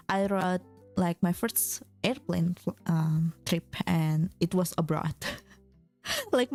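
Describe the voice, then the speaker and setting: A young woman streamer chatting casually with her viewers, often restarting her sentences and filling pauses with "like". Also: on the low side, darkish tone. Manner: chatty, many fillers and restarts, reads chat aloud. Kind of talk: casual chat with chat readers. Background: faint background music.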